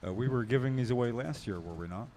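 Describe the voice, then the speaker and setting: A man's voice: mid-range voice, fast talker, mellow, slight background noise. A man co-hosting a podcast, talking casually in a hesitant, rambling way. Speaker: podcast co-host.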